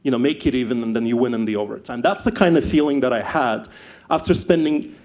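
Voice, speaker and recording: A young man from Ukraine with a Ukrainian accent, telling his startup story on stage, animated and often repeating words as he restarts. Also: medium pitch, quick pace. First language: not English. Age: young adult. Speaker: startup founder speaker.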